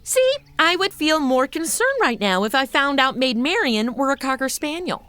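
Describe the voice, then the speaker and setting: Flippant, high-pitched, bright modern chatterbox. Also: female voice, big pitch swings, normal speed, dry recording, full character voice. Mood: snarky. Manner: snarky, casual, rambling. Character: flippant modern young woman, chatty, easily distracted, irreverent. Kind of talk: comedy audio drama, modern asides.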